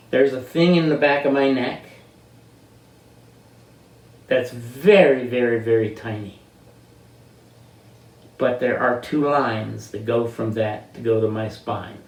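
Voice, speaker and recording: An elderly man in his eighties from the United States telling personal stories in an unscripted, halting, deliberate way, with long pauses and drawn-out words. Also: mid-range voice. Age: 80s. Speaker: interviewee telling life stories. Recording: roomy, distant microphone.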